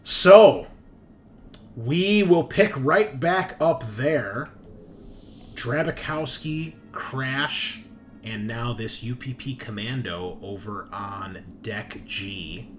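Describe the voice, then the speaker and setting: A man's voice: medium pitch, drawn-out words, soft background music. A man narrating a tabletop roleplaying game as game master, with casual, off-the-cuff storytelling.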